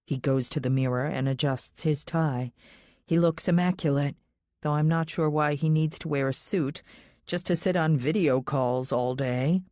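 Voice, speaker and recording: A woman's voice: sits low, fairly even intonation, normal speed, darkish tone, no room sound, studio quality. A woman reading a scripted first-person thriller audiobook as its narrator.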